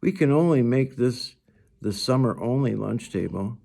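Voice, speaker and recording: A man's voice: mid-range voice, animated, normal speed, vocal fry, mellow, pitched above the performer's own voice. A chatty, eager young schoolgirl from a storybook, acted out by a man, with a voice lifted well above his usual talk. She is friendly and matter-of-fact, stressing her words earnestly. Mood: playful, earnest.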